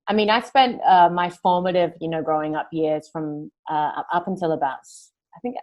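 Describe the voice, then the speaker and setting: A woman with a low voice answers interview questions in a loose, rambling way. She circles back, restarts her sentences and leans on fillers as she goes. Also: moderate pace, dull audio. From New Zealand and Australia. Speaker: guest, singer-songwriter. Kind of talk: casual interview conversation.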